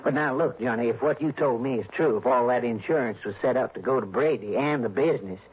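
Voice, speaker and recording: A man's voice: mid-range voice, fast talker, natural voice. Folksy American lodge keeper in old radio drama, acted by a man. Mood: concerned. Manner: folksy, hesitating, genial. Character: folksy male lake lodge keeper, genial and crafty. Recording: muffled, noisy old radio recording.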